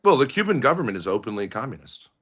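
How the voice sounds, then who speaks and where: Male panelist, bright and bouncy delivery, blunt contrarian. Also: mid-range voice, very animated, fast talker, breathy edge. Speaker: regular panelist. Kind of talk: casual argumentative chat.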